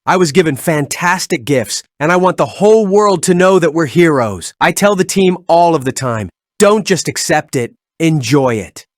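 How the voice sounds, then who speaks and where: A man plays a young show-off superhero for a video game. His voice sits a bit high for a man and sounds dry and close. He is breezy and boastful, with easy slang. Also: animated, moderate pace, few pauses, dry recording, natural voice. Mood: cocky. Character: cocky young fire-powered celebrity superhero, playful show-off. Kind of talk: fan-made video game character dialogue.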